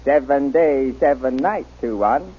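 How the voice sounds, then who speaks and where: An actor playing a wary, loyal native guide who speaks halting, clipped pidgin English in an old-time radio drama. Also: male voice, medium pitch, expressive, a little hiss or hum. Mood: deferential. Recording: muffled, dull old radio recording.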